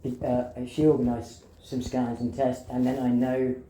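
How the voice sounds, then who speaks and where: Female patient, insistent and halting, very low voice, recorded in an echoey room with faint noise. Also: level tone, dark tone. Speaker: patient at a doctor's appointment. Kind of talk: casual conversation, recounting symptoms.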